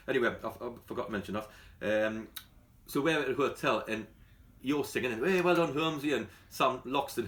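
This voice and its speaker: A man's voice: medium pitch, fairly bright tone. A man with a London accent, a football manager chatting colloquially in a casual interview. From London, England. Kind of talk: casual press catch-up interview.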